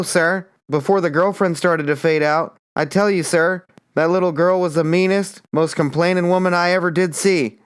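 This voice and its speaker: A man voicing a folksy young male rebel soldier who rambles through a tall tale in a drawling, deferential way, with a smooth, clear voice. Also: on the high side, natural voice. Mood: sheepish.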